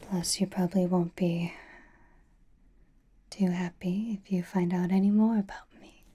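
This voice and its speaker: A seductive female vampire acted by a woman whispers in an intimate ASMR roleplay. The voice is very breathy and mellow, with unhurried, coy phrasing. The vampire sounds teasing and possessive, murmuring close to the microphone. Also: fairly low pitch, steady tone. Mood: guarded, coaxing. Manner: slow, soothing, coy teasing. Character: flirtatious, teasing, possessive reformed vampire grooming a guest.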